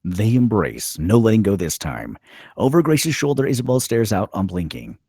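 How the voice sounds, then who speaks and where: A male narrator reads screenplay directions dramatically. His voice is deep and husky, with expressive swings in pitch. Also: moderate pace, dry recording, clean studio sound. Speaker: narrator reading stage directions.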